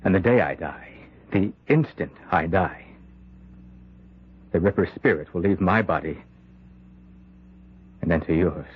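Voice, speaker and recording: A man's voice: low voice, a little slow, long pauses, breathy edge, narrow, tinny audio. An actor playing a dying man possessed by a killer's spirit, speaking urgently and commandingly in an old-time radio drama. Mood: grave, urgent.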